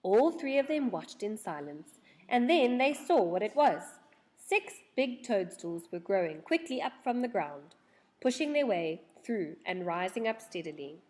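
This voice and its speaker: A woman reading a children's storybook aloud in an expressive, dramatic storytelling manner. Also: mid-range voice, unhurried.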